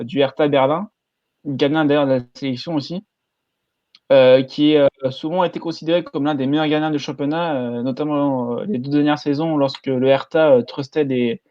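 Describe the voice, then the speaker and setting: A mid-pitched man speaks in a casual podcast chat, pausing often on fillers. His mic sounds dull and lo-fi, with a dry, close sound and no room echo. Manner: hesitant, many fillers. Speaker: podcast panelist.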